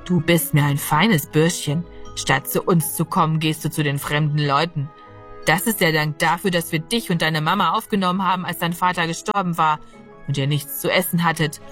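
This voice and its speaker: A woman's voice: deep voice, steady tone, moderate pace, fairly bright tone, faint music behind. A woman voicing a menacing, gloating man who bullies and threatens a boy in an audiobook. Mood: threatening. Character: menacing, gloating adult male villain bullying a boy. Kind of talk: audiobook character voice.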